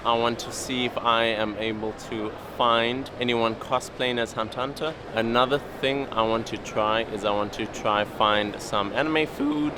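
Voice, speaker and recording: A man talking casually as a vlogger, in a chatty, plain-spoken way. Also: medium pitch. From South Africa. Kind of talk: casual vlog talk and voice-over narration. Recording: clearly noisy, ambient background sound.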